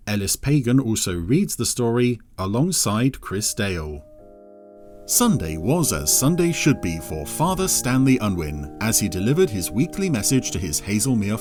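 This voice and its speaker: A man's voice: fairly low pitch, a little mellow. A man narrating a scripted audio story in a dramatic storytelling style.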